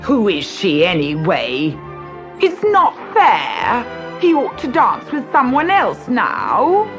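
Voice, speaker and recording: Spoiled young stepsister for a children's storybook: high, petulant, whiny, swooping wails. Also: female voice, wildly swooping pitch, a bit bright, soft background music, exaggerated voice. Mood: sulky, indignant. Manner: whiny, petulant, drawn-out wailing. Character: spoiled, whining, jealous young stepsisters, shrieking and quarrelling. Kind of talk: dramatised children's storybook reading.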